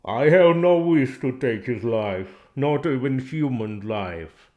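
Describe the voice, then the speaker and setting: A man voicing a big, gentle male cart horse, earnest and full of remorse. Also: mid-range voice, expressive, pitched above the performer's own voice. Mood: tearful remorse. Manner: slow, earnest, anguished.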